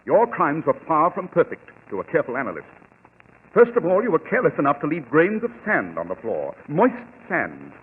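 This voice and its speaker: On a crackly, thin old radio recording, a keen English gentleman detective. His speech is precise and formal, pitched fairly high, swinging widely with theatrical command and complete self-assurance. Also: wildly swooping pitch, fairly quick, husky, narrow, tinny audio, full character voice. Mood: assured, explaining. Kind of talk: 1940s radio acting.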